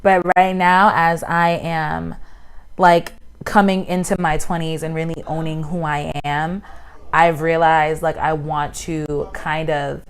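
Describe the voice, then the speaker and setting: Woman, 20s, podcast host; animated, exaggerating storyteller, slightly low pitch. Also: slow pace, clear, resonant tone. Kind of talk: casual chat.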